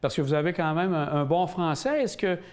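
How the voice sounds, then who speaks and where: A man's voice: fairly high pitch, lively intonation, a little fast, a little mellow. A man hosting a local TV interview, asking questions with many fillers and hesitations. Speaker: interviewer and host. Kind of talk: community TV interview.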